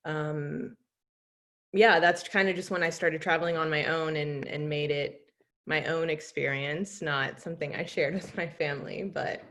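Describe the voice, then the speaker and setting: A woman from the United States, an intercultural educator, answering interview questions thoughtfully with many pauses. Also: low voice, fairly even intonation. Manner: thoughtful, many "um"s, soft laughs.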